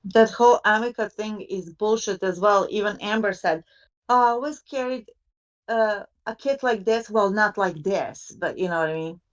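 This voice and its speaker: Woman, mid-range pitch, blunt, conversational, casual panel chat, dull call sound. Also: normal speed. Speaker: discussion panelist.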